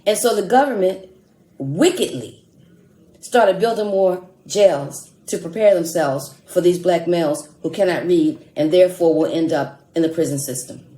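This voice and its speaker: A woman's voice: fairly low pitch, moderate pace, dark tone. A woman hosting an online commentary, speaking in an emphatic, challenging way with rhetorical questions and repetition. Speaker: host of an online commentary show. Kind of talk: opinion commentary with read-aloud article excerpts. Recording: echoey room sound.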